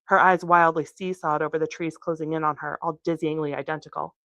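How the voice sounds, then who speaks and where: A woman reads fiction aloud in an expressive storytelling style. Her voice sits on the low side, and the sound is close and dry, with little room in it. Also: a little fast, dry recording. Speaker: author reading from a novel. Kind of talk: expressive audiobook-style reading. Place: bookstore reading.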